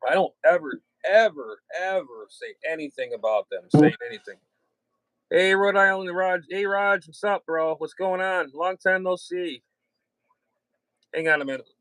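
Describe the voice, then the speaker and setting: A man's voice: sits high, normal speed, fairly bright tone, dry recording, low-quality recording. A man hosting a casual hobby livestream, talking in a blunt, slangy way with some swearing. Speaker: livestream host and ham radio hobbyist.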